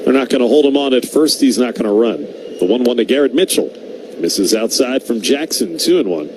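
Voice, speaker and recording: A man's voice: mid-range voice, lively intonation, fairly quick. A man calling live baseball play-by-play as a radio announcer. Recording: clear background noise, outdoor ballpark ambience.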